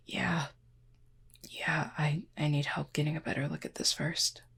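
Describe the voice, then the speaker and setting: A woman playing an injured fallen cupid in an intimate roleplay audio, soft and breathy, with a formal, measured, dryly aloof but curious manner. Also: low-pitched, level tone, whispered, exaggerated voice. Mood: pained, dismayed. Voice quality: soft, breathy, husky with fry. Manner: measured, formal, wordy phrasing.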